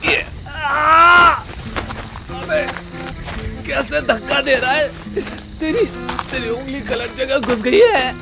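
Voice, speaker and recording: A man plays an irritable ghost-case investigator who takes charge. His voice sits high for a man and is bossy and foul-mouthed, in the style of a horror-comedy audio drama. Music runs clearly behind him. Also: animated, takes it slowly, pauses often, heavily acted. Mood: exasperated.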